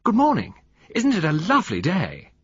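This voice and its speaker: A man's voice: medium pitch, big pitch swings, moderate pace, breathy edge, no room sound, muffled recording, pitched above the performer's own voice. A man voicing a timid, chirpy, eagerly polite young wife in a storybook reading. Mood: bright, calling. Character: small mousy, diffident, eagerly agreeable young wife.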